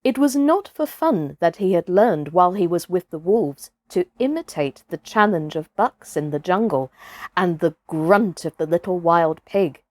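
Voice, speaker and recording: A woman narrating a storybook for children, with expressive, careful and deliberate scripted delivery. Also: medium pitch, dry recording, studio quality.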